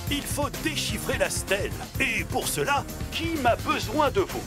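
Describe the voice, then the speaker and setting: An actor voicing a kindly, encouraging grandfather truck in a children's cartoon, animated and instructive. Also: medium pitch, a little slow, over music. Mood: explaining.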